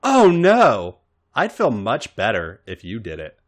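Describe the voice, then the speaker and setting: A man's voice: lowish voice, huge pitch swings, takes it slowly, full character voice. A man voicing a naive, earnest, bashful young woman in a story reading, with a hesitant, innocent manner. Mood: nervous, pleading.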